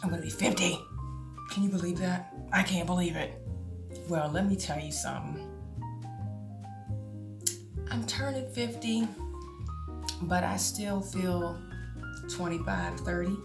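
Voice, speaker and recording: A woman in her late forties talking casually to camera as a vlogger, chatty and often laughing, with drawn-out exclamations. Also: lowish voice, creaky. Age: 40s. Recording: echoey room with music behind.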